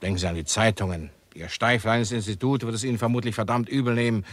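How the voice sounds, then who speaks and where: A menacing movie boss acted by a man talks in a low male voice. He is smooth and nonchalant, drawling his mock courtesies in the style of a sixties German radio thriller. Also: fast talker, lightly acted. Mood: coolly threatening. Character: smooth-talking, menacing Hollywood studio boss under suspicion. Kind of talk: 1960s German radio crime drama acting.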